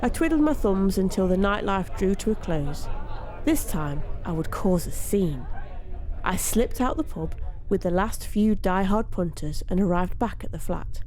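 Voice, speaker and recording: A woman reading a first-person story aloud in an expressive, theatrical storytelling manner. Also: medium pitch, unhurried, some chatter behind. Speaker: story narrator.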